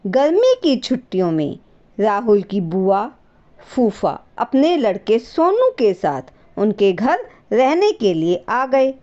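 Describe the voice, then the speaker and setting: A woman narrating a scripted story as a host, expressive and speaking directly to her listeners. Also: medium pitch, slow pace, muffled recording.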